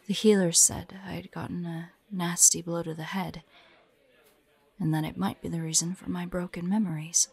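A woman voicing a grieving, vengeful young demon hunter in an audio roleplay, soft and breathy, telling her story haltingly. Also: fairly low pitch, little pitch movement. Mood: wistful, subdued. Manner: slow, halting, haunted storytelling. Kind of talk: dramatic audio roleplay monologue.

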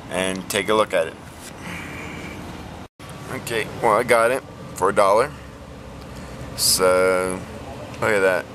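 A man with a lowish voice talks plainly through a casual vlog, stopping often to restart. Recorded on a handheld camera outdoors, with faint outdoor ambience and some car noise behind him. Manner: plain, halting, many ums and restarts. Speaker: flea market reseller vlogger.

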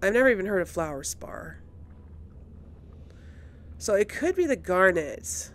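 A woman's voice: mid-range voice, sing-song, unhurried. A woman doing casual let's play commentary as a gaming host, musing and thinking aloud.

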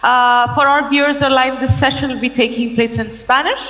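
Female event host, high voice, reading announcements in a reverberant room. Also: fairly even intonation, moderate pace, bright, forceful tone. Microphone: headset.

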